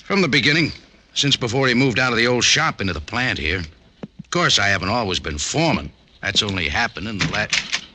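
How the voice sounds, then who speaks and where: Very bright, animated American foreman. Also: lowish voice, a little fast. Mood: amiable. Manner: casual, reassuring. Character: amiable, easygoing factory foreman. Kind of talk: 1940s radio drama acting. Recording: faintly noisy old radio recording.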